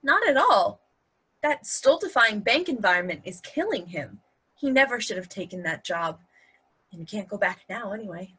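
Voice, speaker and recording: A woman's voice: medium pitch, big pitch swings, moderate pace, sharp tone, husky, full character voice. A woman playing a blunt, dry-witted partner who defends her man, delivered pointedly in a play reading. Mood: defensive. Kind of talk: virtual table read of a stage comedy-drama.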